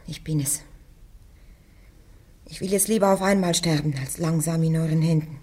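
A woman playing a young peasant girl on trial, answering in quiet, steady, firm words in a classic radio play. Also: deep voice, normal speed. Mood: defiant.

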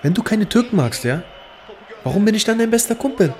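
A man's voice: high voice, expressive, fairly quick, darkish tone. An actor playing a brash, teasing young male drug dealer in a radio drama, pushy and crude in streetwise slang. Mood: cocky, needling.

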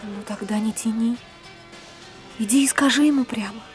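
An earnest woman with a high voice performed by an actress, an uneasy and devoted guest in a radio drama. Faint music sits behind her. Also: normal speed, close to an everyday voice. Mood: bitter. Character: anxious, devoted woman, uneasy guest among people she despises. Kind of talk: radio play acting.

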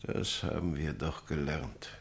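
A man acting in a German radio drama as a stern, resigned middle-aged father, terse and austere, with a gravelly voice. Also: a bass voice, fairly even intonation, unhurried, heavily acted. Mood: stern resignation. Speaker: radio play actor.

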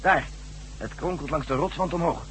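An actor playing a brisk, cool-headed general with a dry, commanding manner in a science-fiction radio drama. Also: male voice, fairly high pitch, fairly bright tone, natural voice. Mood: dry, calm. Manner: brisk, commanding, drily teasing. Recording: noisy hissy old radio recording.

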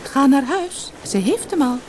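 Woman as a high-voiced little magic fish. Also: very animated, unhurried. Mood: friendly, obliging. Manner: gentle, friendly, obliging. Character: small magical talking golden fish, polite and pleading. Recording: noisy old cassette audiobook.

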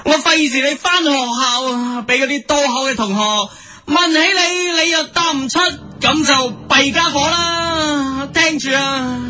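High-voiced brash young band leader, Hong Kong accent. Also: male voice, measured pace, sharp tone, heavily acted. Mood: excited, boastful. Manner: exclamatory, emphatic. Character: brash, boastful young male band leader hosting youth show. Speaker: radio show host. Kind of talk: comic radio sketch presenting.

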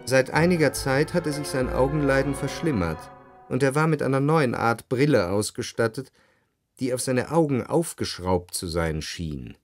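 An actor playing a refined, jealous, brooding man who narrates his memories in a measured, articulate radio play performance. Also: mid-range voice, expressive, faint music behind, natural voice. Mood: reflective.

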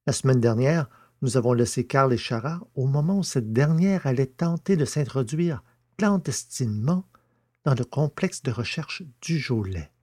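A man hosting a podcast in a deliberate, scripted presenting style, speaking carefully into a close microphone. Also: medium pitch, expressive, a little mellow, no room sound. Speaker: podcast host.

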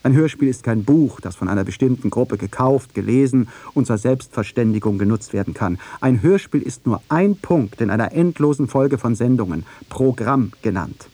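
A man's voice: mid-range voice, lively intonation, moderate pace, hardly any pauses, warm tone, no echo. A man reading a scripted radio documentary in a sober, precise manner. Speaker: radio feature narrator.

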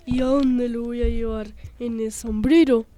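A woman's voice: on the high side, steady tone, measured pace, mellow, lightly acted. A woman voicing a pompous, insulting pirate captain in improvised radio theatre, in a noisy room recording. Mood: blustering.

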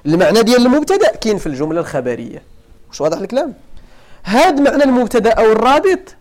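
Moroccan guy teaching a grammar class, high voice that jumps around a lot, keeps checking if students follow. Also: very animated, rapid-fire, darkish tone. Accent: Moroccan Arabic. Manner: questions the students often, repeats points for emphasis. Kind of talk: classroom lesson.

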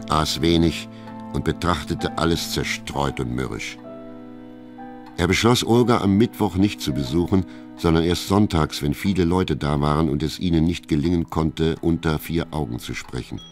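Radio play narrator, super deep voice, sober n detached, classic novel vibe, soft music under it. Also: male voice, normal speed. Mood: measured.

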